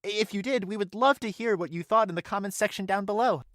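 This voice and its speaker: A woman's voice: mid-range voice, fast talker, no room sound, clean studio sound, natural voice. A woman voicing an earnest, friendly young hero, politely and cheerily hosting a scripted holiday show. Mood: cheerful. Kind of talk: scripted show sign-off, audio drama.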